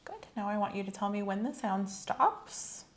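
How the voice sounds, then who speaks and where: A soft-spoken woman in an ASMR medical exam roleplay, giving gentle, measured instructions as the examiner. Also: mid-range voice, lively intonation, slight background noise. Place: small indoor room set up as an exam room.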